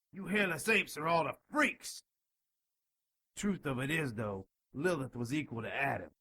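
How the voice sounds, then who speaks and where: High, raspy, whiny wisecracking demon. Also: male voice, pushed and bright, exaggerated voice. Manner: indignant, sarcastic, sleazy. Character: whiny, cocky, wisecracking male demon informant. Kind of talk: audio drama acting.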